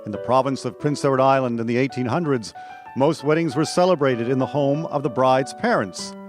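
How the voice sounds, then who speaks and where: A man's voice: medium pitch, a little fast, faint music behind. A man narrating scripted local history for a radio drama.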